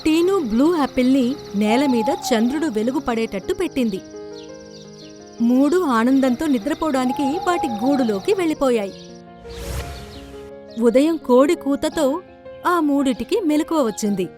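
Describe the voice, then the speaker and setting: A woman's voice: high-pitched, lively intonation, normal speed, long pauses. A woman narrator reading a scripted children's story. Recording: background music under the voice.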